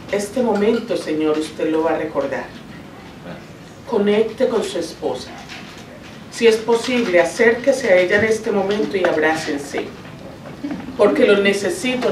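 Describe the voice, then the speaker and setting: A middle-aged woman from Colombia with a Colombian accent, a native Spanish speaker, giving an emotive, deliberate motivational talk to parents and children and repeating phrases for emphasis. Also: medium pitch. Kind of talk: motivational presentation. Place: school classroom, indoors. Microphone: camera some meters away. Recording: roomy, noisy classroom, distant mic.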